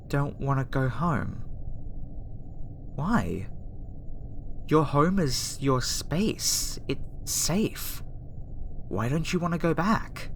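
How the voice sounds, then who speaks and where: A kind young alien woman, acted by a female performer talks softly and hesitantly to her human subject in an intimate ASMR roleplay. Her delivery is slow and tender, with a conflicted, easily flustered temper. Background noise and ambience sit behind the voice. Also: a bass voice, heavily acted. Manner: soft, hesitant, talking directly to the listener.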